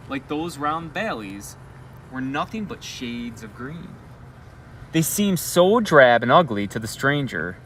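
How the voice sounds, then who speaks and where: Animated man reading a bedtime story outdoors. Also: medium pitch. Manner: expressive, pausing to ask and comment to listeners. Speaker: elementary school educator reading a bedtime story. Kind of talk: read-aloud storybook narration with asides. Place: outdoors on a school roof at night. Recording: outdoor live feed with traffic noise.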